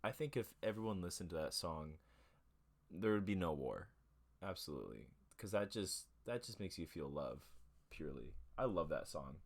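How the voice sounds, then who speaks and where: A man's voice: low voice, expressive, normal speed. A man hosting a casual music show, chatting in a rambling, laid-back way between songs. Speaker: host.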